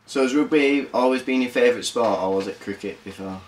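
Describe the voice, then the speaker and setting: A man's voice: medium pitch, a little fast, a little hiss or hum, poor audio. A man putting short interview questions to a rugby player.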